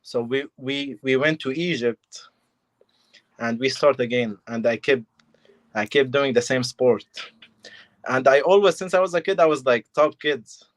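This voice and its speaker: A young man from Syria, answering interview questions in short, halting phrases of simple English. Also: mid-range voice. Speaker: fighter, guest.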